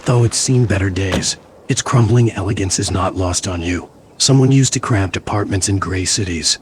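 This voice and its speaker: A man narrating a gothic mystery game as a brooding storyteller, in a measured, atmospheric scripted style. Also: low voice, slight background noise, lightly acted.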